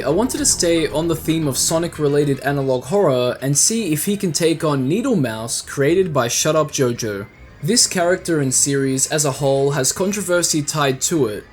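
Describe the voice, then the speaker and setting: A man hosts a scripted video essay and reads it in a conversational way, tossing in playful asides. His voice sits a little high for a man. Faint music plays underneath. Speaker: YouTube host.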